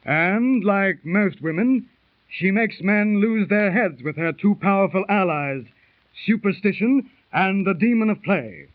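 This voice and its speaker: An actor playing a chatty, superstitious English gambler with an upper-class English accent, in a 1930s radio drama. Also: male voice, sits high, animated, a little slow. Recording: tinny 1930s radio transcription.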